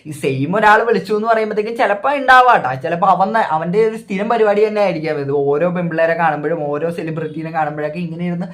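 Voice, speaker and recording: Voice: mid-range voice, racing, hardly any pauses, a bit bright. A reaction video host with a Kerala accent, giving opinionated, repetitive casual commentary.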